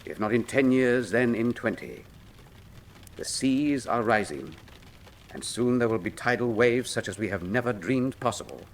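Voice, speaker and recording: An actor playing a brilliant, wry detective in a radio drama, articulate and theatrical, with a British accent. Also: male voice, mid-range voice. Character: cool, brilliant male detective, wry and patriotic.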